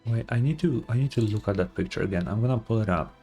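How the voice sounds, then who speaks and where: A man's voice: fairly low pitch, quick pace, dark tone. A man giving casual, slangy live reactions as a game streamer, thinking aloud and swearing freely. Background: faint game music.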